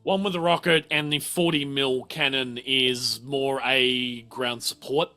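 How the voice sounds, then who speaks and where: A man explaining things as game master at a tabletop game, in a halting, explanatory way. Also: medium pitch, fairly even intonation, bright, forceful tone, soft background music.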